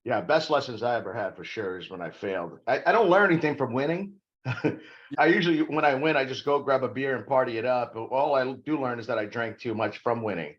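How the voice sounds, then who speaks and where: A man's voice: mid-range voice, lively intonation, brisk, lo-fi sound. A middle-aged man from Brazil, a guest on an interview podcast, talking emphatically about leadership and making self-deprecating jokes. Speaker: corporate security guest.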